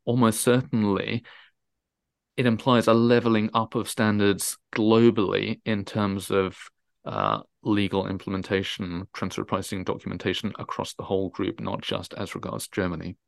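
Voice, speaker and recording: A man speaking as an expert guest in an interview, emphatic, often repeating and rephrasing his words haltingly. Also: on the low side, gravelly, dry recording, clean studio sound. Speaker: transfer pricing specialist, interview guest.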